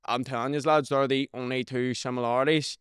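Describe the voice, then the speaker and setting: A young man with a Belfast accent talks loosely on a podcast, swearing freely and tagging sentences with "do you know what I mean". His voice is smooth and clear, at an ordinary male pitch. The recording is dry and close. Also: steady tone, a little fast, a bit bright, no echo. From Northern Ireland. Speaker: rapper guest. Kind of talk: casual podcast chat.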